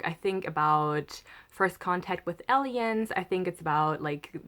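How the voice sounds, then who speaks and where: A woman with a German accent and German as her first language, chatting enthusiastically as a book vlogger. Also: fairly low pitch. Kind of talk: casual book haul chat.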